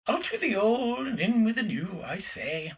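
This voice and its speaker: A man's voice: high-pitched, very animated, moderate pace, slightly rough, far from the mic, full character voice. A man playing a smug, pompous architect with a drawl in a period audio drama, a little off the mic.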